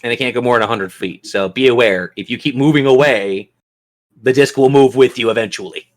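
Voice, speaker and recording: A man's voice: mid-range voice, fairly quick, background noise. A man acting as game master, narrating and refereeing a tabletop fantasy game in colloquial language and speaking directly to his players.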